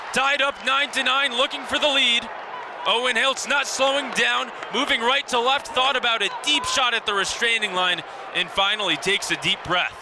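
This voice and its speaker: Over clear background noise from an outdoor venue, a man in his twenties calls a game live for radio. His voice sits high for a man. He delivers the action in short, descriptive phrases, lifting with excitement when a play is big. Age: 20s. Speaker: play-by-play commentator. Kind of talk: live radio sports commentary. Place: outdoor lacrosse stadium. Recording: noisy outdoor broadcast with stadium ambience.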